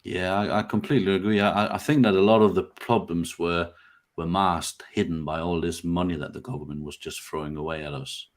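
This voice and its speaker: A very deep-voiced man hosts a live stream from a video call. His voice is a little croaky after being unwell, and he talks in a friendly, hesitant way with plenty of ums and uhs. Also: fairly even intonation, brisk. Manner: friendly, hesitant with many fillers. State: slightly croaky from illness. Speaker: host.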